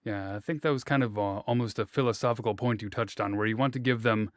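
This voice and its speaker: A man's voice: fairly low pitch, fast, no echo, clean studio sound. A man hosting a casual interview, asking long rambling questions with hesitations and restarts. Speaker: interviewer, host.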